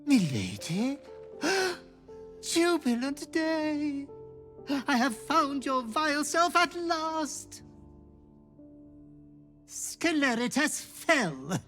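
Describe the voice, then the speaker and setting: An actor voicing a fawning, gleefully depraved fiendish butler creature in a video game, theatrical and florid. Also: male voice, very high voice, big pitch swings, very slow, long pauses, pushed and bright, faint music behind, full character voice. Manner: theatrical, obsequious, florid wording. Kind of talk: video game character acting.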